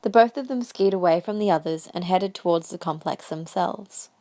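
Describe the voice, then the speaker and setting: A woman's voice: lowish voice, moderate pace, mellow. A woman reading scripted story narration as the narrator of a fan audio drama.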